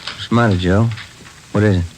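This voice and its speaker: A man voicing a skeptical detective partner whose low, dark voice carries dry, doubtful remarks. Faint background noise sits behind him. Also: steady tone, fairly quick, lightly acted, poor audio. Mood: wry.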